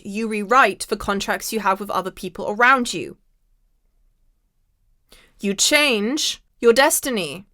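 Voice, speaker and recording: Female podcast host, mid pitch, slightly hoarse, emphatic motivational delivery, dry recording. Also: bright, forceful tone, no echo. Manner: emphatic, repeats key phrases. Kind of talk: motivational spiritual monologue to listeners.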